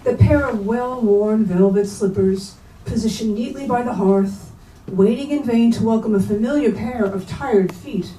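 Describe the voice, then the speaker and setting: Female reader, soft dark timbre, mid pitch, literary prose, slightly distant pickup. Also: measured pace. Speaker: reader at a staged book reading. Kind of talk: literary prose reading aloud. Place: bookstore event space, indoors. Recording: roomy, distant mic, faint noise.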